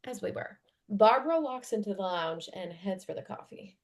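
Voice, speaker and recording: A woman's voice: medium pitch, expressive, normal speed. A woman reading screenplay stage directions as scripted narration at a table read.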